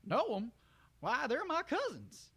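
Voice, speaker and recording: A man's voice: very high-pitched, wildly swooping pitch, a little slow, gravelly, pushed and bright, pitched above the performer's own voice, full character voice. A man voicing a confused, kindly, innocent cartoon pony with folksy country talk and a stammering manner. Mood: surprised.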